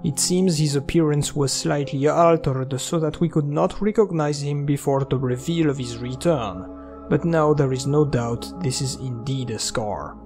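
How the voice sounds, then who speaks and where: A man delivering a scripted video breakdown as narrator, in a measured, explanatory way. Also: a little high, a little mellow. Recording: music under the voice. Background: video game soundtrack music.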